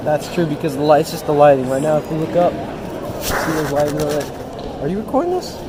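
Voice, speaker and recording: A man's voice: fairly high pitch, animated, brisk. A young man vlogging outdoors on a campus, joking and mock-boasting in a casual, teasing way. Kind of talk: casual joking vlog chat. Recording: outdoor phone recording with traffic noise. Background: street and traffic noise.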